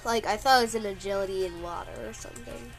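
A congested young woman gives casual gameplay commentary in a middle-pitched voice, sounding sniffly throughout. She rambles from thought to thought and playfully whines whenever her character takes a hit. Loud game music plays behind her. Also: quick pace. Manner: rambling and chatty, mock-complaining playfully when hit. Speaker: gameplay video creator.

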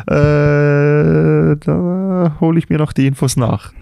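A young man co-hosting a casual podcast, often pausing on filler sounds and laughing easily. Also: fairly high pitch, steady tone, gravelly, mellow, clean tone, no echo, clean studio sound. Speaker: podcast co-host. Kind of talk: casual chat.

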